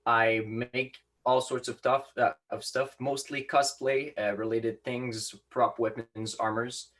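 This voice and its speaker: A man's voice: medium pitch, little pitch movement, unhurried, fairly bright tone. A seventeen-year-old young man from Quebec, a native French speaker with a slight Quebec French accent, answering interview questions hesitantly with many fillers. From Quebec, Canada. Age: teens. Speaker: guest maker.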